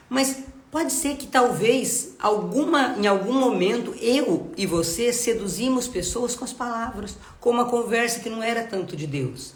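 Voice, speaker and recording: A woman's voice: mid-range voice, roomy sound. A woman giving a live devotional Bible talk, nasal and congested from a cold, earnest and full of rhetorical questions. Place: home.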